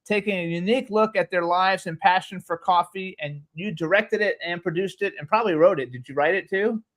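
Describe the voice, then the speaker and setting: A man's voice: high-pitched, fairly quick, fairly bright tone. A man hosting an interview show in casual chat, gushing and exclamatory toward his guest. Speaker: talk show host.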